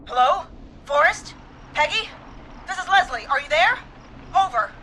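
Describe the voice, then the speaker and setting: A woman's voice: high voice, animated, measured pace, sharp tone, slight background noise, heavily acted, poor audio. An actress playing a brave but frightened small-town woman dispatcher on the run in a video game. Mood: anxious.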